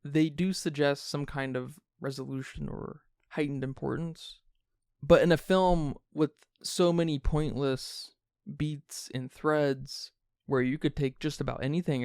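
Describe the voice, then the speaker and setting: A man's voice: mid-range voice, creaky, a little mellow, clear, resonant tone, dry recording, clean studio sound. A man hosting a film review podcast in a casual, conversational way. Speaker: podcast host.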